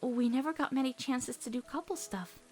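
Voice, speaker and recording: A woman voicing an earnest, awkward teenage girl in an emotional cartoon comic dub, heartfelt and halting when nervous. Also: high-pitched, faint music behind, lightly acted.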